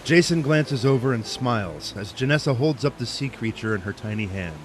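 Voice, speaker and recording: A man's voice: medium pitch, lively intonation, fairly quick, over music, lightly acted. A man performing scripted, dramatic narration for a superhero audio drama.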